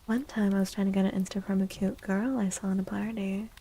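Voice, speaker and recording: Female ASMR livestream host. Husky, breathy, often whispered, with a soft warm tone and gentle manner. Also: mid-range voice, level tone. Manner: gentle, unhurried. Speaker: livestream host and tarot reader.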